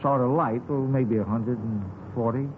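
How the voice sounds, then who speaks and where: A man's voice: medium pitch, fairly even intonation, moderate pace, narrow, tinny audio, natural voice. A man playing a folksy, talkative eyewitness in a 1950s radio drama, rambling along in colloquial phrases. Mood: vague, unhurried. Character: folksy, talkative male eyewitness to a street shooting. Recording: scratchy, thin 1950s radio recording.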